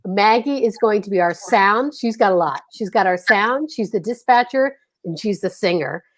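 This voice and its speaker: An excitable woman from Philadelphia cohosts a casual online chat over a video call. She gushes and talks at an even middle pitch. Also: moderate pace. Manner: excitable, gushing. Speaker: host.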